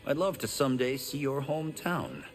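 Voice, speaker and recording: A man's voice: medium pitch, animated, normal speed, soft background music, lightly acted. A man voicing a modest, friendly young fighter in a video game, earnest and sincere. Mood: sincere, curious. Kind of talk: video game character acting. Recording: long hall reverb.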